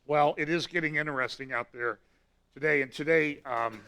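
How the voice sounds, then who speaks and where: Hoarse, raspy man giving a church talk. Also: on the high side, normal speed, pushed and bright. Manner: conversational, with frequent fillers and restarts. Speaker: pastor giving weekly prophecy update.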